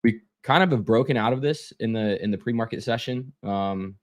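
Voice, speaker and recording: Hoarse man losing his voice. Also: medium pitch, normal speed. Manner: casual, repeats words, frequent fillers. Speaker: stock trading streamer. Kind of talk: live trading stream chat.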